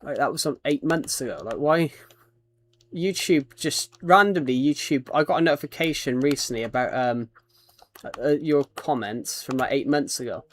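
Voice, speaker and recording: A man's voice: on the high side, normal speed. A British man streaming a game, chatting casually and rambling while he reads viewer comments and names aloud. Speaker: livestreamer. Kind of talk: casual livestream chat while playing a game.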